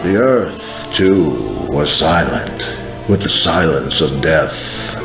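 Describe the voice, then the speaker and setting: A man's voice: rumbling low, animated, exaggerated voice. A man playing a fervent mid-century evangelist recounting an apocalyptic vision in a solemn, dramatic monologue. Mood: hushed. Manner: solemn, slow, dramatic storytelling. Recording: roomy echoing voice over music. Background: music.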